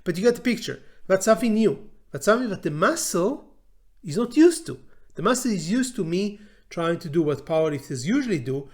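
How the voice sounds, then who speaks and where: A man in his forties with a fairly high voice talks informally as a language teacher, in English learned after his native Hebrew. He is animated and often stops on fillers and restarts his sentences. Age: 40s. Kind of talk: informal talk to camera.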